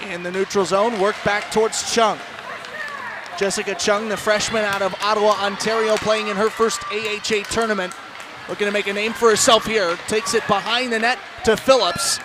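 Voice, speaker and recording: A man doing animated, projected play-by-play commentary on a live ice hockey broadcast. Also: high voice, moderate pace. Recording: loud crowd and arena noise behind. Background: ice rink crowd.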